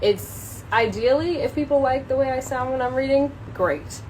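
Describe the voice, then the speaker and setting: A woman's voice: high-pitched, normal speed, darkish tone, slight background noise, poor audio. A young adult woman talking informally on a livestream, rambling in slangy, hesitant, self-conscious speech. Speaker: livestreamer introducing a book reading. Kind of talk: casual, unscripted livestream chat.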